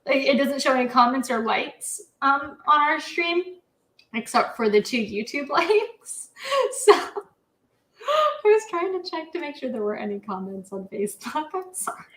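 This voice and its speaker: A woman from the American Midwest chats casually on a live stream, her voice sitting a little high. She laughs her way through many sentences. The sound carries a noticeable room echo. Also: big pitch swings, unhurried, a bit bright. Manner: giggly, talks through laughs. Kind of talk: casual chat.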